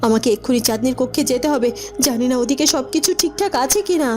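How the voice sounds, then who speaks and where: A woman's voice: high voice, lively intonation, fast talker, a little mellow, soft background music, pitched below the performer's own voice. A woman voicing an anxious, protective queen mother in a cartoon, scolding with agitated, repeated questions. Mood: worried, scolding. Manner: agitated, repetitive, reproachful questioning. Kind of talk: melodramatic cartoon acting.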